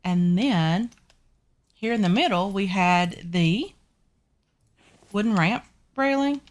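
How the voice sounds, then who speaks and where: A woman's voice: medium pitch, takes it slowly. A woman with a Southern US accent talks her viewers through a survival game in a loose, chatty way, sprinkling in ums and calling them guys. Her voice sounds stuffy and congested from a sinus infection. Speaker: gaming channel host. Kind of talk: casual game commentary.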